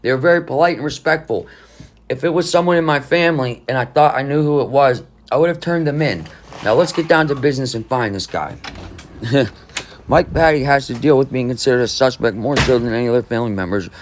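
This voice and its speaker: A man's voice: mid-range voice, a little fast, slight background noise. A man hosting a true crime channel, reading an article aloud in casual commentary, rambling and skeptical. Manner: rambling, skeptical, hums between passages.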